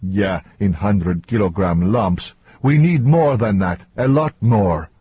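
A man acting an exhausted, short-tempered mission leader, husky. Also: lowish voice, animated, slow pace, no echo, lo-fi sound, full character voice. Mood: agitated, insistent. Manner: demanding, impatient, swearing. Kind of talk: audiobook character dialogue.

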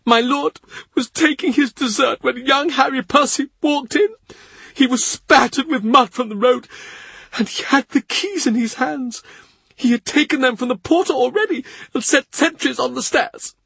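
A man's voice: extremely high pitch, moderate pace, gravelly, a bit bright, breathy edge, dry recording, pitched above the performer's own voice, exaggerated voice. A man voicing a grieving male servant who cries as he tells his story, in an audiobook. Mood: tearful. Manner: tearful, breathless storytelling. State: crying. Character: grieving male servant, weeping, tearful gentleman. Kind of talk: audiobook character reading.

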